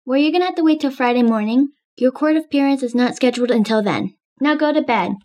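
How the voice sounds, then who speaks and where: A woman's voice: sits high, quick pace, darkish tone, natural voice. A woman playing a matter-of-fact female police officer in an improvised video game roleplay. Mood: stern, matter-of-fact. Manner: matter-of-fact, procedural questioning. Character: businesslike female police officer taking robbery reports.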